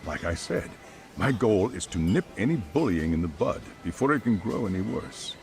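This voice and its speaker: A man's voice: lowish voice, sing-song, normal speed, a little mellow, slight background noise. An actor playing a polite, formal middle-aged private school chairman in a video game cutscene, speaking in careful, wordy sentences. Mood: measured, formal.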